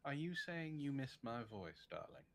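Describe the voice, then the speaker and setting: A man of middle pitch who jokes in a dry, teasing way and slips into mock-sinister threats. His intonation is lively and rolls up and down. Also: normal speed. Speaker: stream co-host. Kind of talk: playful banter on a live stream.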